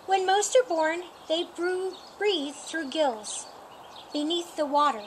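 A middle-aged woman with a high voice reads a rhyming picture book aloud for storytime. She takes her time, shaping each phrase deliberately and expressively. She is outdoors, with clear birdsong and ambient noise around her. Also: rough recording. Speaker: storytime reader. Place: outdoors in a sunny yard by a painted wall.

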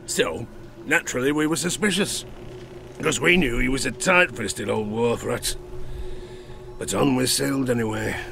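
Man acting a gravelly, theatrical old sailor. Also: medium pitch, moderate pace, long pauses, noisy background, natural voice. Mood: sly, suspicious. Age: older. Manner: salty sailor's dialect, chuckling, theatrical asides. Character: grizzled old harpooner spinning a tavern sea tale, boastful, haunted. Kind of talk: dramatic audio drama storytelling.

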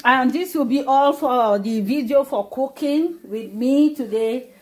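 A woman's voice: sits high, a little slow, a little mellow. Chatty woman explaining a home recipe. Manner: chatty, explains step by step with many fillers. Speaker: cooking vlogger. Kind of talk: casual cooking demonstration. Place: home kitchen.

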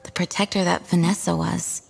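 Earnest noble young knight. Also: female voice, lowish voice, level tone, fairly quick, creaky, soft background music. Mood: grateful, resolute. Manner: formal, earnest, composed. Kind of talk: anime video game voice acting.

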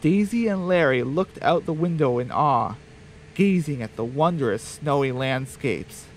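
A man narrates a comic audio story in a fairly high voice. His pitch moves up and down a great deal as he reads the scripted tale. Faint noise sits behind him. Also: animated, unhurried, poor audio.

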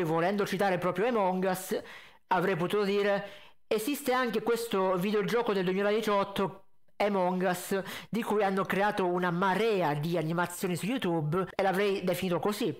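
A man giving casual, critical and emphatic reaction commentary. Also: high-pitched. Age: 20s. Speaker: reaction video host. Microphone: close desk condenser mic with pop filter, headset.

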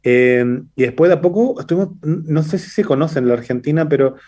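A man in his thirties with a Chilean accent, a startup founder speaking over a video call, fluent and quick with many fillers. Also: mellow. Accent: Chilean Spanish. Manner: fast, fluent pitch, many fillers like "entonces" and "eh". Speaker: startup CEO guest. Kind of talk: business interview by video call. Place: indoor room.

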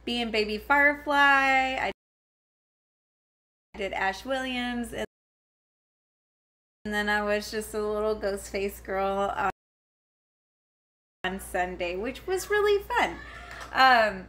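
A woman's voice: medium pitch, expressive, slow, long pauses, pushed and bright. A woman, chatting casually at home, rambling with lots of fillers and restarts and giggly asides. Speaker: vlogger reviewing a convention. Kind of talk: casual talking-to-camera chat. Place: home, her daughter heard elsewhere in the house.

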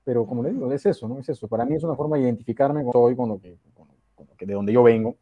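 A man's voice: mid-range voice, rapid-fire, muffled recording. A young man from coastal northern Peru with a Peruvian accent, chatting casually as a guest poet on a video call. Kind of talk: casual interview chat. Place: indoor room with bookshelves, on a video call.

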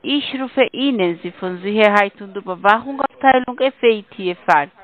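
Foreign accent lady reading a call center script, phone quality, medium pitch. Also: moderate pace. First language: not German. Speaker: scam caller posing as investigator. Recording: phone-like telephone line.

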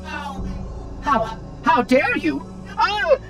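A prim robot caretaker from a video game, pitched very high. The delivery is formal and pompous with animated swings, sounding set back from the mic over prominent music. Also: male voice, drawn-out words, distant mic, full character voice, rough recording. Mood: indignant. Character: prim, fussy, cheerfully officious floating robot caretaker. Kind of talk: scripted video game acting.

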